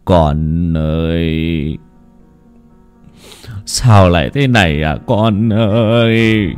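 A man voicing a frail old village father who laments slowly and sobs. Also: low-pitched, darkish tone, clean tone, soft background music, pitched below the performer's own voice. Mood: grieving lament. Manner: slow, drawn-out sobbing lament.

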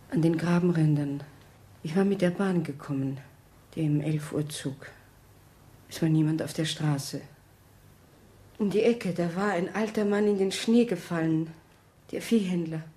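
An actress in a German radio play, playing a shaken woman courier who speaks in a halting, intense way. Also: lowish voice, dark tone, lightly acted. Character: Hansi, shaken woman courier bearing witness.

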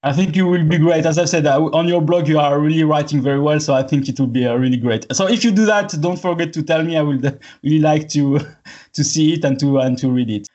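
A man whose first language is French, interviewing someone in friendly but halting English. His voice sits fairly high. The recording sounds dull and lo-fi. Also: darkish tone. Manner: friendly, halting non-native phrasing. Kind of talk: casual interview conversation. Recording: dull lo-fi audio.

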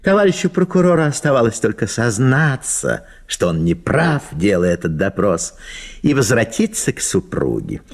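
A man narrating a literary story in an expressive, theatrical way. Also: mid-range voice, normal speed.

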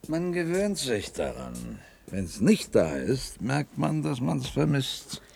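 An actor playing a wistful, resigned underground worker husband in a dystopian 1970s German radio play, musing theatrically. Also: male voice, medium pitch, huge pitch swings, slow, creaky, darkish tone, slight background noise, heavily acted.

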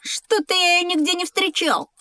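High-voiced cheeky schoolboy. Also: female voice, normal speed, dry recording. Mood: sceptical. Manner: animated, colloquial, cocksure. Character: schoolboy Petya, bookish, cheeky, argumentative. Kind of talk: scripted educational audio drama.

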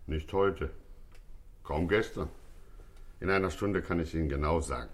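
An actor in a German radio crime drama playing a police doctor who reports in a dry, precise, clinical manner. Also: male voice, a bass voice, moderate pace. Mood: clinical, detached. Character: matter-of-fact male police doctor reporting findings.

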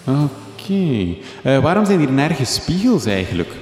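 A man's voice: medium pitch, big pitch swings, unhurried, a little mellow, slight background noise. An actor playing a naive, boyishly curious and enthusiastic male reporter in a gothic horror comedy radio play. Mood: naive curiosity. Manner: innocent, inquisitive, boyish. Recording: echoey reverb, music behind.

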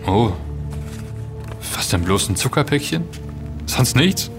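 An actor playing a young student hacker in an audio drama, casual and curious, often musing aloud. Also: male voice, medium pitch, very animated, slow, over music. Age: young adult. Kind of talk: German audio drama dialogue.

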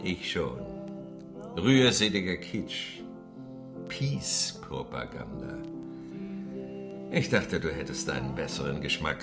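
A man's voice: extremely low pitch, animated, slow, gravelly, long pauses, over loud music, full character voice. An actor in a German radio drama playing a stern, contemptuous Soviet secret police chairman with a pompous manner. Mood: scornful.

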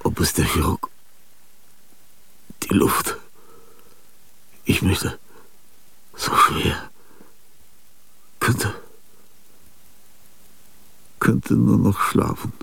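A man narrating and speaking in a radio drama, with a gravelly voice and a weary, half-whispered inner monologue. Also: lowish voice, extremely slow, long pauses, bright, forceful tone, no room sound. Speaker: narrator and protagonist.

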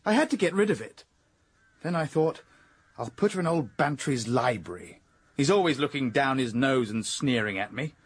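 A man's voice: medium pitch, expressive, moderate pace, breathy edge. An actor playing a rude, rattled young Englishman in a radio drama. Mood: rueful confession.

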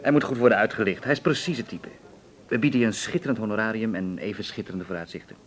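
An actor playing a pushy, smooth-talking film producer in a wartime Dutch radio drama. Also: male voice, fairly low pitch, fast, natural voice. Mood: persuasive.

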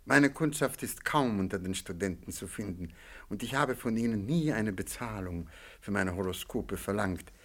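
An actor playing a shrewd, courteous male astrologer in a German radio play, speaking in a measured, formal and probing manner. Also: fairly low pitch, fairly bright tone. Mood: musing, probing.

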